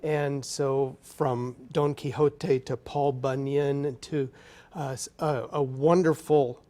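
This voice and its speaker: A man's voice: mid-range voice, steady tone, slow pace, warm tone. A man speaking as an interview guest, an orchestra conductor explaining things enthusiastically in a TV studio. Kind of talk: live TV interview talk.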